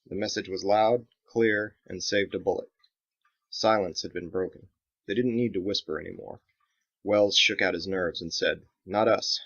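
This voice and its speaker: A man's voice: low-pitched, moderate pace, fairly bright tone. A man reading his own dramatic action novel as scripted audiobook narration, in a slightly dull recording.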